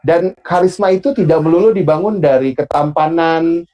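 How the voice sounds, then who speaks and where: A man with a Sundanese accent hosting an online public speaking class, relaxed and pausing often with fillers. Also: fairly high pitch. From Bandung, Indonesia. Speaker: host and moderator.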